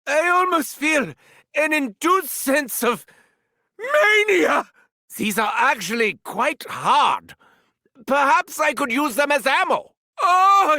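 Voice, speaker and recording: A manic, sadistic mad doctor with a thick German accent for a video game. His voice sits high and swings wildly, with a gravelly, sharp edge. He savors each word slowly, gloating and bursting into shouted cackles, all captured dry and close in the studio. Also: dry recording, heavily acted. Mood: mocking, then gleeful. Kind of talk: video game character barks.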